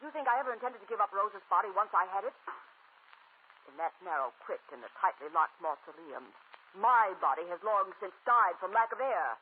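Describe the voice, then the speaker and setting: A woman's voice: medium pitch, expressive, fairly quick, husky. An actress playing a scheming, desperate, imperious young woman in melodramatic old-time radio horror. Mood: haughty, scornful. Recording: tinny, lightly noisy old radio recording.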